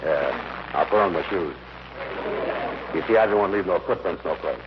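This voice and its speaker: An actor playing a gullible, easygoing sidekick in an old-time radio comedy, with folksy, ungrammatical speech. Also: male voice, sits low, normal speed, lightly acted. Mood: wary, bluffing. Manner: folksy, ungrammatical, plain-spoken. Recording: muffled, very hissy old radio recording.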